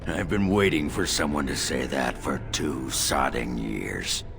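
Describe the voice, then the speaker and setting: Very deep gravelly dwarf warrior. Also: male voice, unhurried, fairly bright tone, exaggerated voice. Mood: gruff, grumbling. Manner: blunt, coarse, swearing. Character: drunken, belligerent, gruff dwarf warrior. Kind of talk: video game voice acting. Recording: faint music behind.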